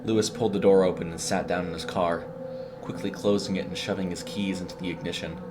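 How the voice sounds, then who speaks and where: A man's voice: low-pitched, fairly even intonation, quick pace, heavy background noise. A man reading a scripted horror short story as its narrator.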